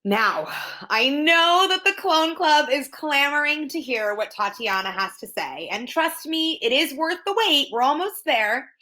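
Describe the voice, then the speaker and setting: A woman hosting an event in an upbeat scripted style, with excited, theatrical emphasis. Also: high voice, unhurried. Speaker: event host.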